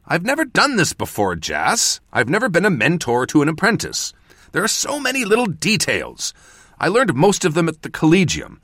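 A man's voice: medium pitch, sing-song, moderate pace, a bit bright, dry recording, pitched above the performer's own voice. A man voicing an earnest, defensive male mage mentor in an audiobook, given to careful explanation. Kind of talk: audiobook character voice.

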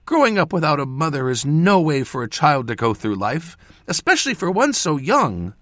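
A man voicing an older, warm, effusive farm mother who exclaims with joy. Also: a little high, big pitch swings, moderate pace, dry recording, studio quality, voice pushed up. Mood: gushing.

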